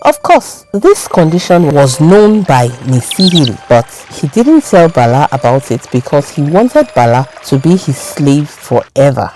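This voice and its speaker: A woman from Nigeria narrating a folktale in a scripted, expressive storytelling manner. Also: deep voice, measured pace, hardly any pauses, soft background music, poor audio.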